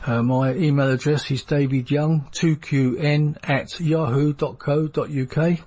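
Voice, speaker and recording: A man's voice: on the high side, steady tone, measured pace, a little mellow. Chatty London man hosting a show. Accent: London English. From London, England. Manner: chatty, laughs often, repeats himself. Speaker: podcast host. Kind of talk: casual interview chat.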